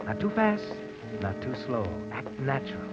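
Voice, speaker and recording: A man's voice: medium pitch, sing-song, moderate pace, natural voice. An actor playing a taunting male narrator of a 1940s radio thriller, clipped and goading. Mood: tense, suspenseful. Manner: clipped, goading, addresses the character directly. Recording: dull old radio recording, loud music.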